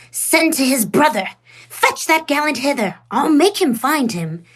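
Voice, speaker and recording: A woman plays an angry usurping duke in a Shakespeare radio play. The voice sits on the high side. The speech is formal and imperious, that of a stern ruler used to being obeyed. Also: sing-song, takes it slowly, exaggerated voice. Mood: angry, impatient.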